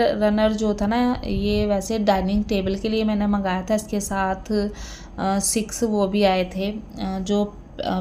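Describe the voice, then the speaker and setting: A woman in her thirties narrating her day at home in a relaxed, chatty voice-over, with many fillers and tag questions. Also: medium pitch, slight background noise. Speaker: vlogger.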